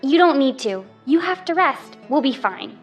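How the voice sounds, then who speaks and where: A woman's voice: high-pitched, big pitch swings, normal speed, highs cut off. A woman playing an earnest, dutiful teenage girl in an audio drama, polite and reassuring. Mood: eager, reassuring. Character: earnest, dutiful fifteen-year-old daughter. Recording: music under the voice.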